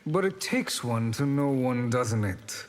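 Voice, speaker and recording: A man playing a sly, manipulative charlatan sorcerer in a fighting game, smooth-talking and calculating. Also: medium pitch, lively intonation, unhurried, close to an everyday voice. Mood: sly, insinuating. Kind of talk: video game fight intro banter. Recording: long hall reverb.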